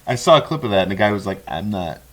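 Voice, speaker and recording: A man's voice: fairly low pitch, animated, fast talker, a bit bright. A man talking casually in a group conversation. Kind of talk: casual chat.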